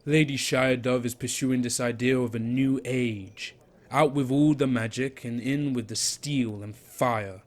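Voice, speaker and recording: A man's voice: mid-range voice, fairly even intonation, measured pace, close to an everyday voice. A man playing an impassioned, bitter agitator. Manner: impassioned, lecturing. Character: bitter, angry man railing against the rich.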